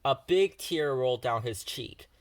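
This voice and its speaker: A man narrating a children's storybook in an expressive read-aloud style. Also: mid-range voice, unhurried, no echo. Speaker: storybook reader.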